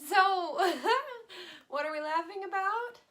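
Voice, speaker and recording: A woman playing a chatty, breezy young schoolgirl in an expressive dramatic reading of a children's book. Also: extremely high pitch, takes it slowly, heavily acted. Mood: amused. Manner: expressive, casual, joking. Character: Kelly, chatty, breezy young schoolgirl, George's best friend.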